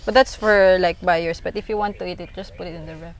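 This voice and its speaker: A woman's voice: lowish voice, fairly even intonation, fast, poor audio. A woman chatting casually, agreeing and chipping in. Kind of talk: casual chat in an interview.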